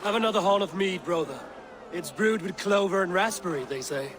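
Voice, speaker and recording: A wry, high-pitched Viking warrior. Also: male voice, lively intonation, brisk, background noise. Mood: calm. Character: confident, wry Viking warrior, competitive drinker. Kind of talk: video game dramatic acting.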